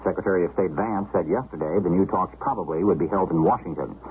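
Male radio news anchor, fast and low-pitched, narrow old broadcast sound. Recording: phone-like old radio broadcast, faint noise.

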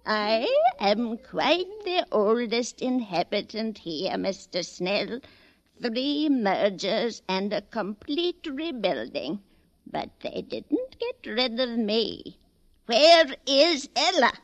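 A very old grande dame of a ghostly clan, imperious and querulous. She speaks slowly in a fairly high voice that swoops grandly, in old-time radio style. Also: sing-song, full character voice. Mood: haughty. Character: imperious ancient matriarch of eerie department-store dwellers. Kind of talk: 1940s radio acting. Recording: vintage radio drama recording.

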